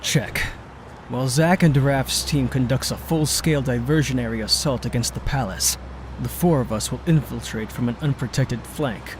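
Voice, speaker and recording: Brief: male actor as focused squad leader, mid-pitched, firm, heavy ambient noise. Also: moderate pace, lightly acted. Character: serious, disciplined male team leader. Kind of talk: audio comic character acting.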